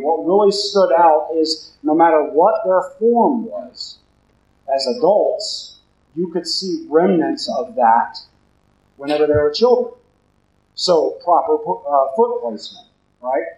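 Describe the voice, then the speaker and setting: Deep-voiced deacon, low-key conversational sermon, roomy and slightly muffled sound. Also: male voice, a little mellow. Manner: low-talking, conversational preaching with questions to the congregation. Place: church. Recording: roomy, slightly dull church recording.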